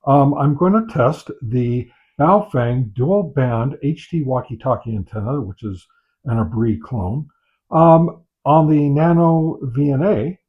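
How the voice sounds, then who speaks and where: A dull, lo-fi recording of a man with a slightly low-pitched voice. He hosts a hands-on product test, talking off the cuff, with hesitant pauses and well-worn phrases as he goes. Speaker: host of a radio gear review channel. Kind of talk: unscripted product test commentary. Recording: dull, muffled lo-fi sound.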